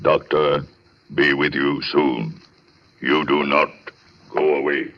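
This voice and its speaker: An actor playing a cold, menacing giant chauffeur in a radio horror drama, speaking in halting, clipped broken English on a muffled old recording. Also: male voice, a bass voice, little pitch movement, exaggerated voice. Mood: cold, commanding. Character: giant hulking male chauffeur-servant, cold, curt, menacing.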